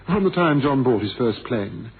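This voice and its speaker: An actor playing a blind former pilot, theatrical and bitterly witty, with a wry, wordy, ironic manner, in a radio drama. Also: male voice, mid-range voice, expressive, moderate pace, breathy edge. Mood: wry, teasing. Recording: noisy, thin phone-like old radio recording.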